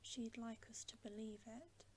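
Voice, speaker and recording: A woman's voice: fairly high pitch, steady tone, measured pace, slight background noise, natural voice. A woman voicing a stern, earnest male talking beaver in a storybook, in an emphatic whisper. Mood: grave, warning. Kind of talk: whispered storybook character reading.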